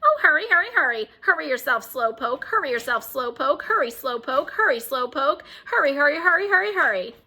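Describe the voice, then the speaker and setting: A woman squawking as a rude, shrill pet parrot. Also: expressive, a little fast, hardly any pauses, sharp tone, exaggerated voice. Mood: cheeky. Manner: shrill, repetitive, cheeky.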